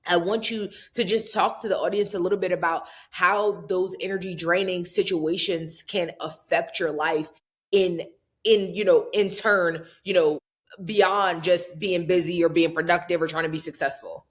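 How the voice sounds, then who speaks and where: A woman with a somewhat low voice hosts a casual interview. She affirms her guest and builds long, winding questions. Her delivery is relaxed and conversational. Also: normal speed. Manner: affirming, rambling follow-up questions. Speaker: podcast host.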